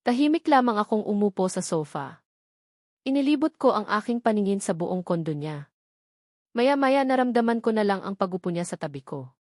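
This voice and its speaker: A Filipino woman narrates a romance novel in the first person. Her voice sits at an ordinary female pitch, reading from a script. The sound is close and dry, with no room echo. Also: darkish tone. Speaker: audiobook narrator.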